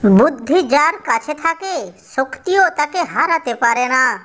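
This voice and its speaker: A woman voicing a small, clever, friendly tailorbird in a children's cartoon, speaking in a deliberate scripted way. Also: high-pitched, expressive, fairly bright tone, heavily acted. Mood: pleased, wise.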